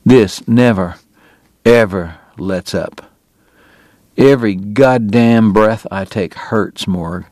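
Male reader doing a sick, proud Old West dentist: slow, pained, pitch pushed up, dry close sound. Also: animated, no room sound, lightly acted. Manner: pained, proud, formal diction breaking into anger. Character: ailing, bitter consumptive Old West dentist, man.

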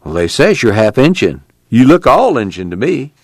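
A man's voice: mid-range voice, big pitch swings, normal speed, no room sound, studio quality, pitched above the performer's own voice. A man voicing a plainspoken young male migrant worker with a terse, folksy drawl. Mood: plain.

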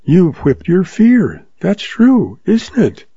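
A man playing a lively young ranch woman. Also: lowish voice, slow pace, slightly rough, dry recording, pitched above the performer's own voice, exaggerated voice. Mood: earnest. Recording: dull lo-fi audio.

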